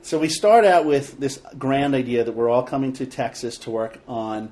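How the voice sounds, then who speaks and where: A man's voice: medium pitch, normal speed, darkish tone. A man hosting a casual podcast chat, joking with his guest and laughing often. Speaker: podcast host, TV director.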